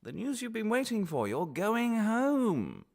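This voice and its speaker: A man playing an officious, falsely reasonable interrogator in a radio drama, patronising and quietly menacing. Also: high voice, wildly swooping pitch, full character voice. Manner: officious, patronising, measured with pointed pauses.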